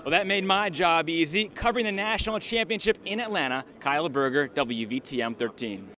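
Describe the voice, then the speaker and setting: High-voiced male sports news reporter over music. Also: expressive, brisk, bright, forceful tone. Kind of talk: scripted sports news narration and highlights commentary.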